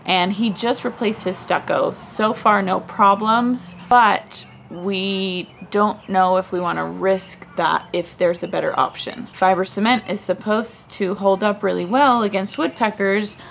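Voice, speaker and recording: A woman's voice: mid-range voice, steady tone, a little slow, creaky, few pauses, a little hiss or hum. Chatty young woman vlogging on porch. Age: young adult. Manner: chatty, rambling, thinking aloud. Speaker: family vlogger. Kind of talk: casual vlog talk to camera. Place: front porch outdoors. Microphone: handheld camera close up.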